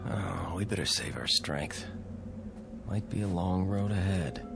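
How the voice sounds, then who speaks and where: A man's voice: sits low, unhurried, creaky, music in the background. An actor playing a breezy, wisecracking, stubbornly reassuring everyman in a radio drama, with a wry, confident, casual delivery. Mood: grimly determined.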